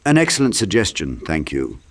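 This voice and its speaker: A man voicing a confused, forgetful, half-mad knight in an audiobook, halting and earnest. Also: deep voice, wildly swooping pitch, a little hiss or hum. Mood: muddled gratitude.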